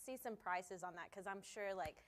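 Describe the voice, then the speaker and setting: A woman, co-hosting a casual talk show, speaking haltingly with fillers and restarts. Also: mid-range voice, a bit bright. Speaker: co-host. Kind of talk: casual chat.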